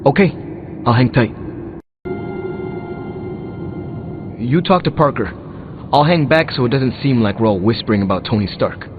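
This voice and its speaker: A man's voice: mid-range voice, brisk, lightly acted. Man as wary young mechanic hero, muffled sound. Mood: puzzled, hushed. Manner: informal, sceptical, easygoing. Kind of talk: video game dialogue acting. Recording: muffled band-limited game audio, music and noise.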